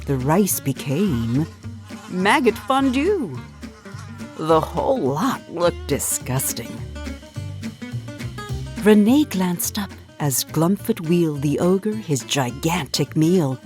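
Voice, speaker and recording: A woman reading a children's picture book aloud as storyteller, in a theatrical, deliberate and expressive way. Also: on the low side, soft background music.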